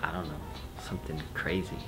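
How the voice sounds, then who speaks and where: A man's voice: rumbling low, flat delivery, a little fast, fairly bright tone, soft background music. A man chatting casually as a vlog co-host in a laid-back, joking way. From half Japanese, half German family. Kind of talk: casual chat.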